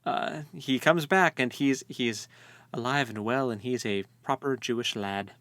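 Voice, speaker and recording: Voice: medium pitch, a little slow, a bit bright, no room sound. Wry podcast co-host, dry close recording. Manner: tongue-in-cheek, repeats phrases, acts out lines jokingly. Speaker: co-host of a book read-along podcast. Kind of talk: casual chat, wry commentary on a novel.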